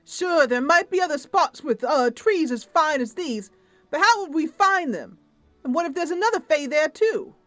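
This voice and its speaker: A woman's voice: high voice, animated, moderate pace, fairly bright tone, no echo, faint music behind, pitched above the performer's own voice. A woman voicing a wary, grudging but reasonable male lumberjack in a fantasy roleplay, plain-spoken and bargaining. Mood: wary doubt. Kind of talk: tabletop fantasy roleplay character.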